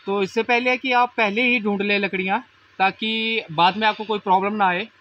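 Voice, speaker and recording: A man's voice: sits high, steady tone, brisk, lo-fi sound. A young man camping in a forest at night, explaining tips to viewers in a casual vlog, filmed on a handheld phone. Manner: explanatory, advising viewers, walking while talking. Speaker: camping vlogger. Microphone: phone camera held by companion.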